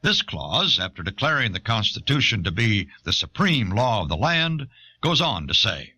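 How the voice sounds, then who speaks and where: Bright, expressive American man narrating. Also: on the low side, moderate pace, husky. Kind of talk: scripted audiobook documentary narration.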